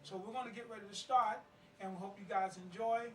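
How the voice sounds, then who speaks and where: A woman's voice: mid-range voice, fairly even intonation, fast talker. A woman informally hosting a live event, chatty, casual and joking with the audience. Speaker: host introducing a stage play. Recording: echoey room, distant mic, clear noise.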